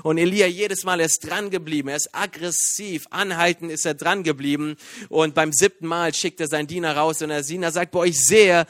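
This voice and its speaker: A man from Iran preaching a sermon in a lively, joking way, with many fillers and restarts. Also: on the high side, brisk, no echo. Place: church.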